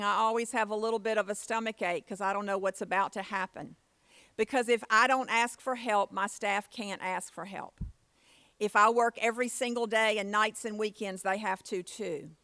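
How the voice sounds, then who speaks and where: A woman's voice: fairly high pitch, a bit bright, no room sound. A woman giving a motivational conference keynote with personal stories, in a plain-spoken, folksy way. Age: 50s. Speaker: keynote speaker.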